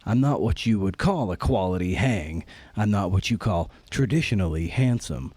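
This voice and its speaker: A man's voice: fairly low pitch, lively intonation, normal speed, a little mellow, no room sound. A man hosting a podcast, talking casually and candidly about writing in a wry, self-deprecating way. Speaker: podcast host and writer.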